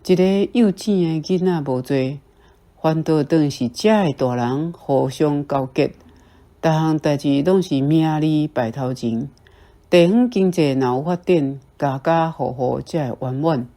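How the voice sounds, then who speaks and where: A woman's voice: deep voice, unhurried, mellow. A woman speaking Taiwanese Hokkien, reading out her earnest reflections on a short story as a book club member. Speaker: book club reader sharing reading impressions.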